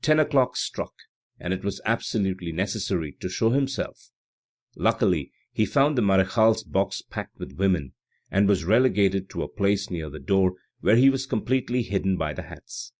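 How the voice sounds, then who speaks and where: A man's voice: low voice, expressive, normal speed. A man reading literary fiction aloud as scripted audiobook narration. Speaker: audiobook reader.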